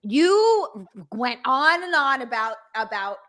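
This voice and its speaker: A woman from Hawaii co-hosting a casual livestream, blunt and deadpan-sarcastic. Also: high-pitched, slow pace. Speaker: co-host.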